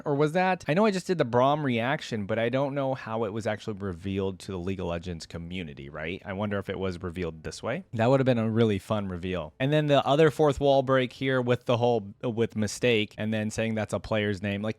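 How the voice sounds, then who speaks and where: A man's voice: on the low side, no echo. A man giving casual, informal reaction commentary as a video host, often checking in for agreement. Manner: chatty, informal, often seeks agreement with "right?".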